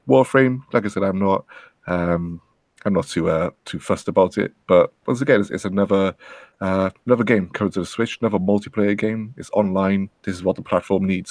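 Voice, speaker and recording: Deep, gravelly man. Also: a little mellow, no room sound. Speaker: podcast panelist. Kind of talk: casual chat.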